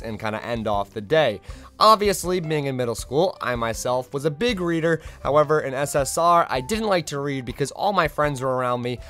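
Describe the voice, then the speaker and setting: A young man, hoarse from losing his voice, telling his own school stories in a chatty, self-deprecating way as a video storyteller. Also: on the high side, fairly bright tone. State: hoarse, recovering lost voice. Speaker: vlogger. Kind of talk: casual storytime monologue.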